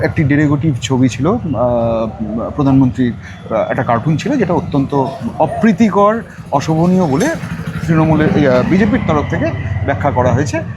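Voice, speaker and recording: A man with a Bengali accent working as an interviewer, putting his questions in a halting way with pauses. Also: a little high, noisy background.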